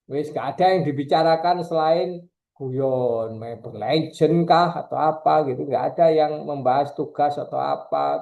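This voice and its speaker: A man's voice: fairly high pitch, phone-quality sound. A middle-aged male university lecturer, giving a chatty, digressive classroom lecture and teasing his students.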